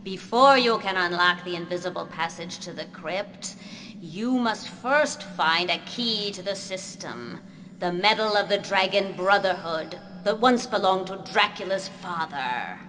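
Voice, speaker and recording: An actress playing a bitter, weary, imperious banished woman in a video game cutscene, speaking theatrically over loud music. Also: on the low side, slight background noise. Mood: stern, commanding. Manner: theatrical, slow and commanding.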